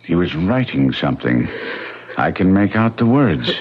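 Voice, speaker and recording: Deep, grave fatherly gentleman. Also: sing-song, normal speed. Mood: curious. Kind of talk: old-time radio drama acting. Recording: noisy, clicking, dull radio drama recording.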